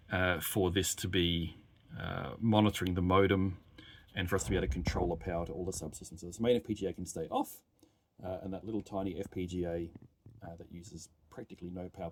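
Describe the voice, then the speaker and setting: A man with a low-pitched voice talks through a hands-on hardware demo in a casual, halting way, thinking aloud as he goes. His voice has a clear creaky fry, and there is light background noise with keyboard typing and clicks. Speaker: developer demonstrating hardware. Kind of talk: casual live technical demo, talking while working. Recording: noisy room with keyboard clicks. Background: typing and clicking at a workbench keyboard.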